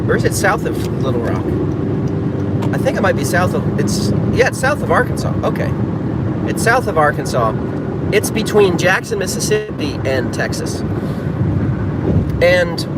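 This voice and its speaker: A man's voice: a little high, very noisy. A man who hosts a piano livestream, chatting casually and reading out viewer comments, rambling and correcting himself as he goes. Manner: rambling, self-correcting, thinks aloud. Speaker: pianist hosting a livestream.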